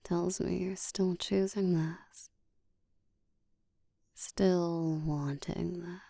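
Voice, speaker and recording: A woman playing a dominant, seductive vampire in a whispered roleplay, speaking in a breathy, deliberate, teasing way. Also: lowish voice, steady tone, dark tone, no room sound, clean studio sound, exaggerated voice. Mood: teasing, commanding. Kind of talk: whispered roleplay audio, ASMR.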